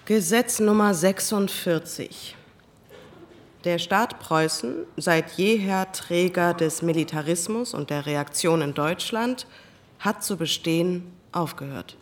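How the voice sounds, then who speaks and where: A woman's voice: lowish voice, slow pace. A woman voicing a sober chronicler in scripted radio narration, clipped, formal and declarative.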